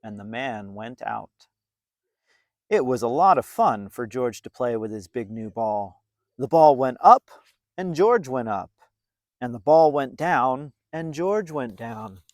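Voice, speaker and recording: A man reading a story aloud to young children in a playful, expressive way, with dramatic emphasis and drawn-out words. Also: medium pitch, no echo, studio quality.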